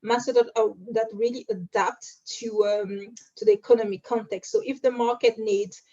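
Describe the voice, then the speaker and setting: A woman from Cameroon in her thirties, a guest in an interview, talking through her career with many pauses, fillers and restarts. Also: on the high side, steady tone, dark tone, highs cut off. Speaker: guest, strategy analyst. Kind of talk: interview answers, recounting career.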